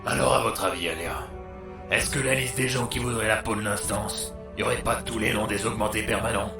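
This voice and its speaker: A man acting a gravelly, bitter doctor in a roomy space. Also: on the low side, steady tone, brisk, music in the background. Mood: bitter, intense. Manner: blunt, sardonic, crude slang. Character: bitter, worn-out, foul-mouthed male doctor with superpowers. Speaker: voice actor. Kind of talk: audio drama acting.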